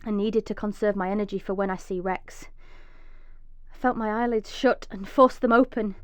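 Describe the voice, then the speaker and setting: An actress playing a desperate, vengeful mother trapped underground, narrating intensely in a breathy first-person radio drama monologue. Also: mid-range voice, steady tone, normal speed, lightly acted. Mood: weak, fearful. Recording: echoey, slightly dull recording.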